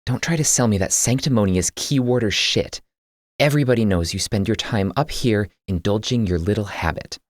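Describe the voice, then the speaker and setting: An indignant, protective older sister in an audiobook, acted out by a man. The voice is lifted well above his natural low register and pushes hard, confrontational and sharp-tongued. The sound is close and dry. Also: fairly quick, no echo, close to an everyday voice. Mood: scornful. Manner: confrontational, pressing. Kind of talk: audiobook character dialogue.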